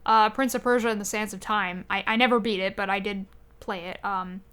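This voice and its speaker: A woman hosting a podcast, chatting informally and haltingly, often correcting herself as she goes. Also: medium pitch, fairly even intonation, a bit bright, clean tone. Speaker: podcast host. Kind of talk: casual chat about games and movies.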